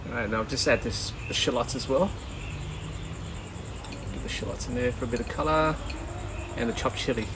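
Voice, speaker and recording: A relaxed man, talking through a recipe in a casual, chatty way. His voice sits at an ordinary middle pitch. The camera mic picks him up outdoors, with loud background noise behind him. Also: moderate pace, long pauses. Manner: relaxed, chatty, with frequent ums. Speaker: cooking vlogger. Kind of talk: casual cooking commentary. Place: outdoor garden kitchen and rocky bay shore. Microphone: camera mic at medium distance.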